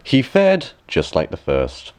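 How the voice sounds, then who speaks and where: A man with a deep voice tells a scripted fairy tale. His delivery is expressive and highly varied in pitch, with measured phrases set out deliberately for the listener. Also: smooth. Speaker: storyteller.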